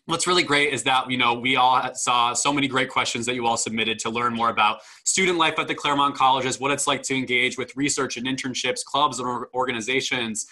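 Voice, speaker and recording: A man's voice: medium pitch, steady tone, fairly quick, few pauses, sharp tone. A young man informally welcoming people to an online panel as its admissions host, speaking over a video call. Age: young adult. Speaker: admissions dean hosting a student panel. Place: indoor room, video call. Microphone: video call microphone.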